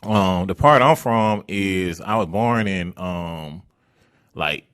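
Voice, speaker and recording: A man's voice: deep voice, takes it slowly, a bit bright, smooth, no room sound, clean studio sound. A man in his thirties with an Atlanta accent, hosting a podcast in loose, slangy, rambling chat. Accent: Atlanta Southern. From Atlanta area, USA. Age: 30s. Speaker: podcast host. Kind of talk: casual chat.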